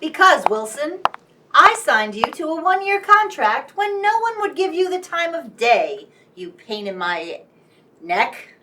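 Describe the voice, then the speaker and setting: Commanding, fed-up female producer, acted by a woman, slow and curt, distant mic, radio play. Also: sits high, sing-song, bright, forceful tone, slight background noise, exaggerated voice. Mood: exasperated. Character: exasperated, bossy female radio show producer. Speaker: radio show director. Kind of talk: radio play comedy acting.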